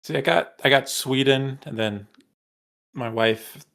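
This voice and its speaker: A man's voice: mid-range voice, unhurried, gravelly, a bit bright. A young man co-hosting a podcast, chatting in an easygoing way with chuckling asides. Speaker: podcast co-host. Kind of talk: casual chat.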